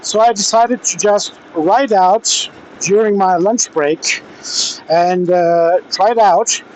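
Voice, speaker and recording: Slow, halting man with a higher-pitched voice. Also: a little mellow, low-quality recording. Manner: hesitant, halting delivery with pauses. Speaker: motorcycle vlogger testing gear. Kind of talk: casual vlog talk while riding. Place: outdoors, riding a motorcycle in traffic. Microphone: helmet intercom microphone. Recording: helmet intercom with faint vehicle and air noise.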